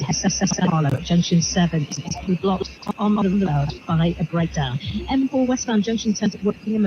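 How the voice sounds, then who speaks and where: A man reading a live traffic bulletin on the radio, heard over shortwave. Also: high-pitched. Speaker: radio travel reporter. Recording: muffled shortwave radio with static, dropouts.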